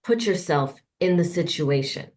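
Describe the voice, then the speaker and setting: Low-voiced woman podcast host. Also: lively intonation, a little slow. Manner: warm rambling musing with many fillers. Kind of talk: casual interview conversation.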